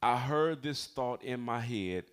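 A man's voice: mid-range voice, expressive, slow, clean tone. A man in his forties with an American accent preaching as a pastor, mixing personal testimony with emphatic, conversational appeals for amens. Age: 40s. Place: speaking to a congregation in a church building.

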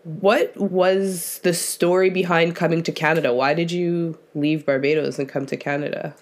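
A woman's voice: fairly low pitch, fairly even intonation, moderate pace. A woman hosting a podcast in a casual, conversational way, addressing listeners in an informal, folksy style. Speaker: podcast host interviewing her father.

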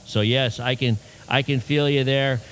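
A man's voice: medium pitch, fairly even intonation, a little slow, fairly bright tone, slight background noise, rough recording. A man co-hosting a podcast, chatting casually in an upbeat, teasing way. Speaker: podcast co-host.

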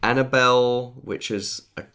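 A man in his thirties with a cold and a raspy voice, co-hosting a podcast in casual chat, thinking aloud as he goes. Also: mid-range voice, steady tone, measured pace, sharp tone. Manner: chatty, thinks aloud with restarts. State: has a cold. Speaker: podcast co-host.

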